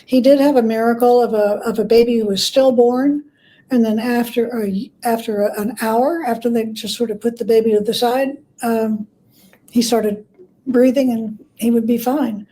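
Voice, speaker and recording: Mellow, higher-pitched woman explaining in conversation. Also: fairly even intonation, moderate pace, low-quality recording. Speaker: interview guest, author and scholar.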